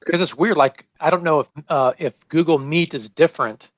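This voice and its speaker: A man's voice: fairly high pitch, moderate pace, no room sound. A man, new to the group, chatting casually and easygoingly on a video call. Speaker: new member. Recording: dull, dry video call audio.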